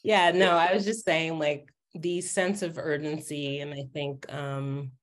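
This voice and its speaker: A woman speaking casually and reflectively on a video call, halting as she searches for words. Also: low-pitched, fairly even intonation. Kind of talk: casual reflective panel talk. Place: home room, on a video call.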